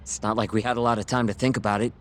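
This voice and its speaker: A man's voice: mid-range voice, flat delivery, racing, a bit bright, slight background noise, close to an everyday voice. A man playing an alert, easygoing young member of a detective crew in a video game cutscene. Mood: brisk, upbeat.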